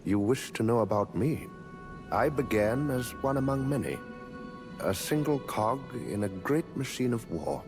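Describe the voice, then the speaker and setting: A humble mechanical butler in a fantasy game. He is polite and formal, speaking slowly in a low, expressively varied voice with music behind. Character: humble, courteous war-machine construct turned housekeeping attendant. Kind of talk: scripted video game dialogue.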